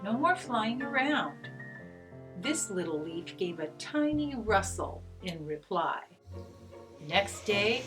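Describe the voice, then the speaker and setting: Woman reading a kids story, gentle n expressive, takes it slow, guitar music playing. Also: on the low side, low-quality recording. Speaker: storyteller reading aloud to children. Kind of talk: expressive scripted story narration.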